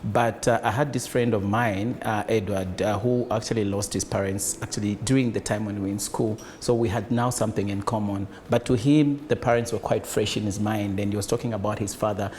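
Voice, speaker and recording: A man's voice: lowish voice, steady tone, brisk, hardly any pauses, darkish tone, slight background noise. A man from Zambia, a business founder, answering interview questions in a hesitant way with many fillers. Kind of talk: conversational interview.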